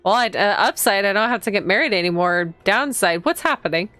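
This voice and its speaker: Clear-voiced woman streaming a visual novel. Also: medium pitch, a little fast, no room sound, faint music behind. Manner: expressive reading, reacts aloud to the story. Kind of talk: reading game text aloud with casual live commentary.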